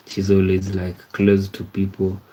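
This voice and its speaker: A man in his thirties hosts a relaxed livestream, talking straight to his viewers. His voice sits low and mellow with a fairly flat, even delivery. He rambles, repeats words and keeps checking in with "you see?". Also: measured pace, slightly rough, rough recording. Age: 30s. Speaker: livestream host. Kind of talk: casual livestream chat reading viewer comments.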